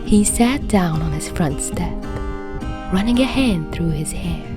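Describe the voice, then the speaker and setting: A woman narrating fan fiction as an audio reader, in an expressive storytelling style. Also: on the low side, a little slow, music in the background. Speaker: podfic narrator.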